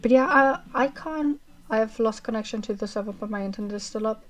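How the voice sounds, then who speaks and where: A woman streamer chatting casually with her viewers as she wraps up a livestream, rambling with fillers and a self-described monotonous voice. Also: a little high, a little fast. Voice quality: monotonous reading voice. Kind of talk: casual chat ending a livestream.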